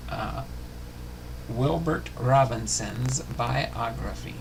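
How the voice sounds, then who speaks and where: A man hosting a baseball history series, reading biography notes aloud in a halting way with small stumbles and self-corrections. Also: mid-range voice. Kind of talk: scripted biography narration, read aloud. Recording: clearly noisy home recording.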